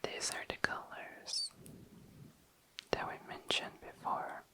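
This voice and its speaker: A young adult ASMR host whispering casual, tentative commentary, often self-correcting in an unhurried way. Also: pushed and bright. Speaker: host of an ASMR makeup video. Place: indoors, tabletop close-up video.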